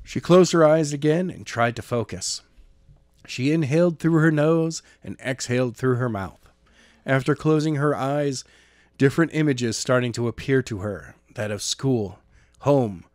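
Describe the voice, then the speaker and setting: Male narrator, medium pitch, amateur audiobook reading, occasional stumbles and rereads, very little room sound. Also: a little slow, no room sound, clean studio sound. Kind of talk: amateur scripted story narration.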